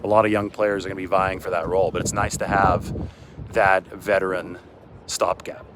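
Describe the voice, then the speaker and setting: Male, American, low-pitched, casual sports livestreamer on the move, faint city and traffic noise. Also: flat delivery, moderate pace. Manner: rambling, chatty asides while on the move. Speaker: sports reporter hosting a livestream. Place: outdoors on city streets by a river at dawn.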